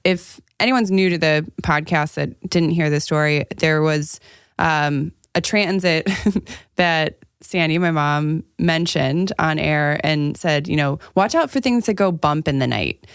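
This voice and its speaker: Clear, smooth, lowish-voiced woman co-host. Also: unhurried, dry recording, studio quality. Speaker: podcast co-host. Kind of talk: casual chat.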